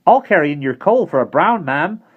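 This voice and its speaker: Man voicing cheeky country boy, high bright voice, brash, wheedling, dull lo-fi recording. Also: animated, a little fast, breathy edge. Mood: hawking. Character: cheeky, cheerful country boy selling geese.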